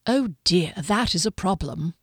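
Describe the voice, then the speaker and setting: A woman's voice: fairly low pitch, expressive, unhurried, a bit bright, husky, dry recording, studio quality, full character voice. A woman voicing a pale male lieutenant who is cheerful and menacing, speaking in a mocking, theatrical, sing-song way. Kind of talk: audiobook character voice.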